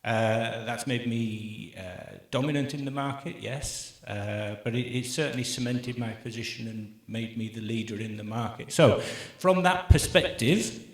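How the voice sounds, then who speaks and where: An upbeat man moderates a conference panel from the stage, with quick asides to the crowd. His mid-range voice rings around a big, echoey hall. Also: medium pitch.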